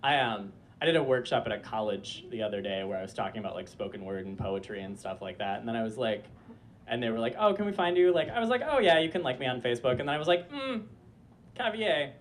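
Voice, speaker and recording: A young man performs a comic story live on a club stage through a handheld mic. His voice sits at an ordinary pitch and carries a slightly fussy, disappointed-mom tone, broken up by filler words. A faint hum of room ambience sits under him. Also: sing-song, quick pace. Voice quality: voice like a disappointed mom, per a friend. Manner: chatty, lots of filler likes, frequent laughter. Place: small club stage before a live audience.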